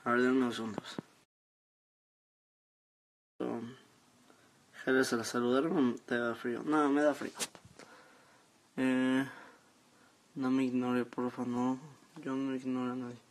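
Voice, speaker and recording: A seventeen-year-old young man with a Mexican accent, chatting casually and haltingly as he reads out and answers comments on a live stream from his phone. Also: medium pitch, level tone, a little hiss or hum, low-quality recording. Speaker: social media live streamer. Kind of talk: casual chat, reading out and answering viewer comments. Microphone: phone at arm's length.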